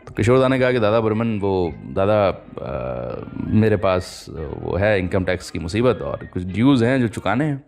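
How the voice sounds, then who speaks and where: A man's voice: lowish voice, big pitch swings, measured pace, creaky, hardly any pauses, darkish tone. A man hosting a radio show, telling anecdotes in a storytelling manner and voicing the quoted exchanges. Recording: music playing behind the voice. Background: music.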